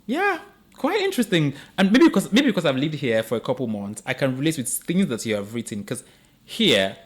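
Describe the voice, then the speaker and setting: A man's voice: a little high, very animated, fairly quick. Chatty, encouraging male podcast host. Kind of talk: casual interview chat.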